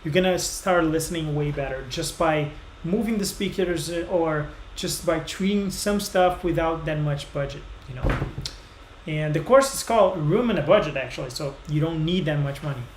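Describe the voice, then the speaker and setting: Brazilian guy reviewing songs live, bit high voice, echoey room w faint hiss. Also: moderate pace. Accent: Brazilian Portuguese. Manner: casual, explanatory, lots of fillers. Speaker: music reviewer host. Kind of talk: live stream song feedback. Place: small home studio room. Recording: roomy echo, faint noise.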